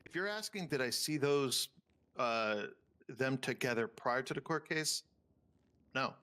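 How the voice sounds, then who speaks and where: A man's voice: mid-range voice, expressive, a little slow, bright, forceful tone. A man playing a stubborn witness in improvised roleplay, giving clipped, evasive answers. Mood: guarded. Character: guarded, stubborn male witness on the stand.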